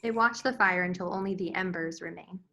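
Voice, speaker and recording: A woman's voice: lowish voice, a little fast, sharp tone, lo-fi sound. A woman reading screen directions as the narrator, in scripted narration style.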